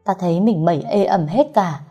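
A woman's voice: on the low side, a little fast, warm tone, husky, faint music behind. A woman doing a weary, feeble older lady. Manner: tired, feeble.

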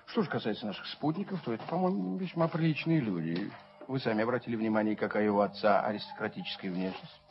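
An actor playing a fussy, snobbish, over-polite gentleman in a radio play, speaking with pompous, flowery courtesy. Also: on the low side, lively intonation, fast. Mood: anxious, reproving. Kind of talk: theatrical radio drama acting. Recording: dull, noisy 1970s radio recording.

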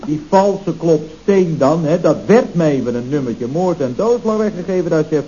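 An actor playing a deferential employee who tells things in a hesitant, colloquial, rambling way, in a radio comedy serial. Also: male voice, a little high, expressive, normal speed, warm tone, close to an everyday voice. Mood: worked up. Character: deferential, rambling male employee reporting to his boss. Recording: dull, noisy old radio recording.

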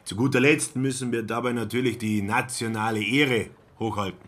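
Actor as a mid-pitched glib young salesman. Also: steady tone, moderate pace, a bit bright, close to an everyday voice. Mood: glib, insistent. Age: young adult. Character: young German salesman, glib and self-important. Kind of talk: radio play acting.

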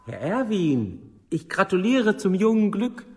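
An older actor playing a meek, lonely old widower in a radio play, awkwardly polite and hesitant. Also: male voice, sits high, big pitch swings, unhurried, heavy background noise, exaggerated voice. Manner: hesitant, apologetic, formally polite.

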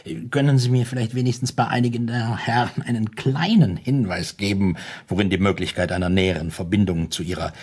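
Radio-play detective: low, husky, circumspect, over-polite and hesitant. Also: male voice, fairly even intonation, fairly quick, few pauses, darkish tone. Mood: musing, circumspect. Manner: stiffly polite, hesitant, over-formal phrasing. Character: formal, circumspect male private detective. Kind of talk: German radio play acting.

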